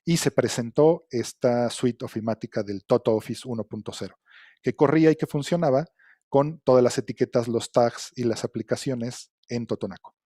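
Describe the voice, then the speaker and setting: Middle-aged male lecturer, dark mellow tone, deliberate explanatory delivery, dry sound. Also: mid-range voice, no room sound. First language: Spanish. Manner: measured, explanatory, with frequent fillers and "¿no?" tags. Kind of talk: academic lecture.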